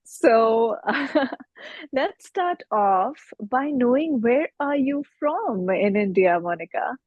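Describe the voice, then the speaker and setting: A woman's voice: a little high, animated, slow pace, no room sound, phone-quality sound. A woman hosting an online interview show, greeting her guest and asking questions with frequent affirming hums. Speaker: talk show host.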